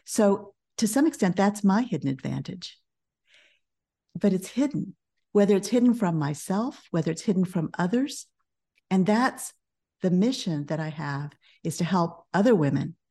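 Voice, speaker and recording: Middle-aged woman guest, calm measured coach, mellow low voice. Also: slightly rough. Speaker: guest, business coach and author. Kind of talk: podcast interview.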